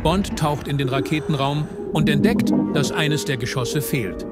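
A man's voice: on the high side, unhurried. A man reading scripted narration for an audio drama. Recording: loud film music over the narration. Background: loud action film score and effects.

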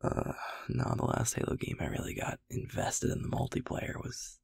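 A man voicing a drowsy, affectionate young werewolf boyfriend in intimate roleplay ASMR, murmuring casually in a husky, gravelly, breathy half-whisper. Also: normal speed, a little mellow, no room sound, studio quality, close to an everyday voice. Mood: relaxed, musing. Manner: drowsy, hesitant, casual mumbling with swearing. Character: sleepy, affectionate, self-deprecating young male werewolf boyfriend.

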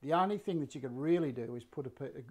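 Croaky older man teaching woodwork. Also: medium pitch, quick pace. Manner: relaxed, rambling, many fillers and restarts. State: slightly croaky voice. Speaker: woodworking teacher and live-stream host. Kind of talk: live workshop demonstration. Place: woodworking workshop indoors. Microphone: fixed camera, mid-distance framing.

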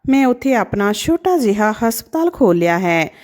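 A woman's voice: medium pitch, big pitch swings, normal speed, a little mellow, natural voice. A woman voicing a devoted young doctor daughter in a storybook tale, speaking earnestly and pleadingly to her father. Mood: tender, insistent.

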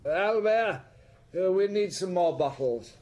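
A man's voice: high-pitched, lively intonation, takes it slowly, a little mellow, lo-fi sound. A man playing a breezy, profligate count in a radio drama, flippant and wry. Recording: old radio, faint noise.

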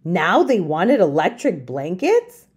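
Animated, slow-paced woman reading storybooks. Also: lowish voice, husky. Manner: deliberate, emphatic phrasing. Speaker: children's storytime host and reader. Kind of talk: expressive children's storybook read-aloud.

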